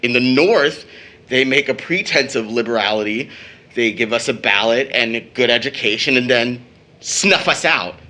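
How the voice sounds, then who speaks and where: An actor playing an outspoken young man in an audio drama, with an emphatic, expressive delivery. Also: medium pitch, moderate pace, natural voice. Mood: bitter, impassioned. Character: Tom, impassioned outspoken young brother, teasing. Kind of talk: stage play audio drama acting.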